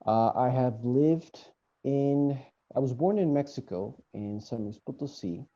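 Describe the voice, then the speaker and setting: He is a young man from Texas with a strikingly dark, mellow voice of middling pitch. He introduces himself informally, hesitating a little as he goes. The sound is slightly dulled by the video call. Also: measured pace. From Texas, United States. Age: young adult. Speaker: translator on a panel. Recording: dull video-call audio.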